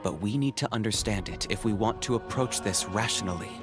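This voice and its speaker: Game casting: rational, blunt clansman, acted by a man, fairly low voice, measured delivery, music underneath. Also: natural voice. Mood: grave, pragmatic. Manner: measured, matter-of-fact. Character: sober, rational male clan member, bluntly pragmatic. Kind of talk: video game character acting.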